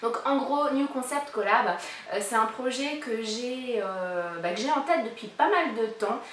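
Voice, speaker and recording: A woman's voice: a little high, measured pace, slight background noise. Chatty woman in her thirties, roomy sound. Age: 30s. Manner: chatty, many fillers, lively question reading. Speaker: YouTuber presenting a collab channel. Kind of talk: casual vlog, answering a tag. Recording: echoey room, mic a bit far.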